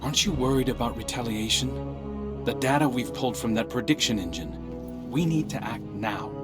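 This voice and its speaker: An actor playing an earnest, wry undercover scout in a video game, talking in an urgent, conversational way. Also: male voice, mid-range voice, animated, moderate pace, natural voice. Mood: concerned. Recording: loud music behind. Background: game soundtrack music.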